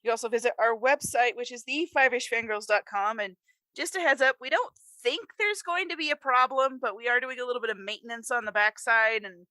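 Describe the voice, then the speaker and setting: Bright-voiced American woman podcaster. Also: fairly high pitch, fairly quick, dry recording. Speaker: podcast co-host. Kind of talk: casual chat.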